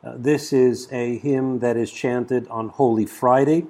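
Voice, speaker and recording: A middle-aged man hosts a radio interview in an easy, conversational way. His voice sits at an ordinary pitch and pace. He sounds relaxed and unhurried. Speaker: priest and radio host.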